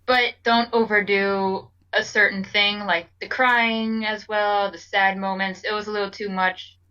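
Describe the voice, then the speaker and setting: A woman in a casual group reaction chat, halting and searching for her words as she makes a point. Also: medium pitch, level tone, pushed and bright, smooth, distant mic, rough recording.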